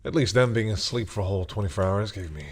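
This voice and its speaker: A man playing a commanding vampire in an audio roleplay, haughty and deliberate, menacing yet reluctantly caring toward humans. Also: lowish voice, breathy edge, no room sound. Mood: conflicted, subdued. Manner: haughty, deliberate, often drops into hushed brooding. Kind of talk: dramatic audio roleplay addressed to the listener.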